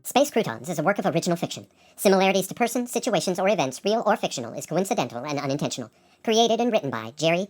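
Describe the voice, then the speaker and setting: A female announcer races through scripted credits and disclaimers. Her voice is low, and her intonation moves up and down in a lively way.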